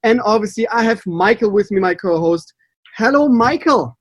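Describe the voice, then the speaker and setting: A man's voice: high-pitched, animated, normal speed, dry recording. A man with a German accent, a native German speaker, hosting a podcast in English as a water sommelier, upbeat and chatty. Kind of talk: casual podcast chat.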